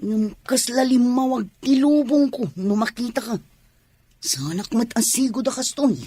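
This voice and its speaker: Woman as a husky, mellow tomboy sweetheart. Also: on the high side, measured pace, no room sound. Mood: lovestruck. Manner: sweet-talking. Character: devoted lovestruck tomboy suitor, teasing young woman. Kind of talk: Ilocano radio drama acting.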